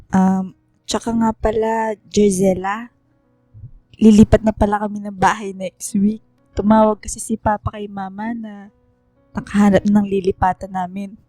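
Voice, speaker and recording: A woman playing a sweet, sentimental young girl with a girlish, expressive way of talking in a radio drama. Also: mid-range voice, normal speed, long pauses, dry recording, clean studio sound, natural voice. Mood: downcast. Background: music.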